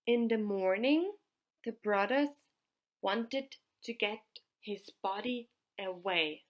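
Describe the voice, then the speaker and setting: A woman telling a fairytale in a halting, deliberate way, pausing between short groups of words. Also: fairly high pitch, fairly bright tone. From Austria. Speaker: storyteller. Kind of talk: slow fairytale storytelling.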